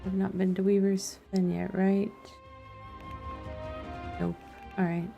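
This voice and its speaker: Slow, gravelly woman musing while gaming. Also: medium pitch, flat delivery, dull audio. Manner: musing aloud to herself. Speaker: game streamer. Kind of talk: casual let's play commentary. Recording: faint music behind the voice. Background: soft background music.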